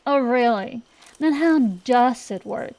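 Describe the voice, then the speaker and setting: Girl acting as a bratty dragon sorceress for asmr, high voice, slow drawn-out excuses, cocky n blustery tomboy. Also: expressive, vocal fry, a little mellow, full character voice. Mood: evasive, sheepish. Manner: blustering, bantering, hesitant excuses full of drawn-out pauses. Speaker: ASMR roleplay performer. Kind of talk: ASMR roleplay, one-sided comedic dialogue.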